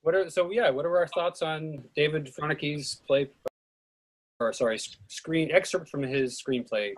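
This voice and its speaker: A man's voice: a little high, a little slow, background noise. A man reading screenplay stage directions aloud as the narrator and moderator of a table read.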